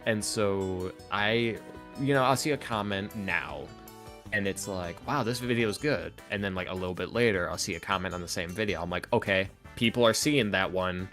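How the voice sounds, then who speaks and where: Male podcast guest, lowish voice, loose rambling delivery with dry self-mockery, soft music underneath. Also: normal speed. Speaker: interview guest, ASMR roleplay creator. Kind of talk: casual podcast chat. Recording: music playing behind the talk. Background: background music with guitar.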